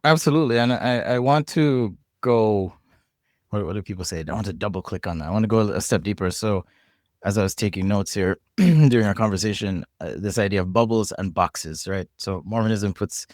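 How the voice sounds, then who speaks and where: A man's voice: lowish voice, fairly quick, a little mellow, no echo, studio quality. A man from Jamaica with a Jamaican accent, talking reflectively as a podcast guest, often restarting and repeating words as he thinks. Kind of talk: casual conversation.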